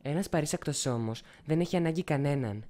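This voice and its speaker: A woman voicing a cocky, streetwise young male lion cub in an animated film fan dub, teasing and swaggering. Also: low-pitched. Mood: cocky, guarded. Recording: music under the voice. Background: film music.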